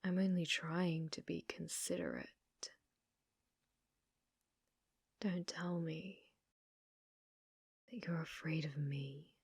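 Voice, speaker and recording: Casting: actress as delusional young British cashier, obsessive stalker for ASMR roleplay; unhurried, halting delivery, very dry close sound. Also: female voice, deep voice, steady tone, dry recording, full character voice. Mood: menacing, possessive. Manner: halting, flustered, slipping into breathy menacing whispers.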